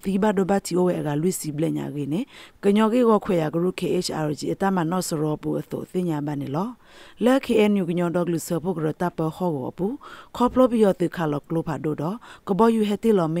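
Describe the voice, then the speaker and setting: A woman presents scripted radio news in a fairly low, mellow voice with noticeable creak. The recording is dry, like a studio. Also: dry recording.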